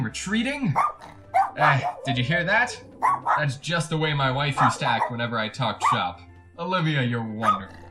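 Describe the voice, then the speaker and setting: Fussy, creepy salesman, wildly swooping delivery. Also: on the high side. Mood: fussy, self-satisfied, then needling, mock-sweet. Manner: fussy, over-polite, wordy, theatrical. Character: chatty, creepy encyclopedia salesman, widower-like, bullying his dog. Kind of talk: retro 1940s radio drama monologue.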